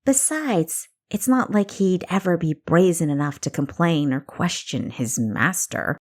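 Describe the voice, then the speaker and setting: A woman's voice: fairly low pitch, expressive, unhurried, darkish tone. Woman acting a pointed, stubborn young adventurer sister. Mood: indignant.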